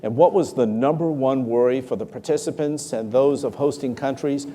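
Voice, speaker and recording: A man's voice: mid-range voice, moderate pace, mellow, slight background noise. A man hosting a live interview before an audience, introducing his guest and steering the questions in a formal way. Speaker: talk show host. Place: large hall with live audience. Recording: long hall reverb.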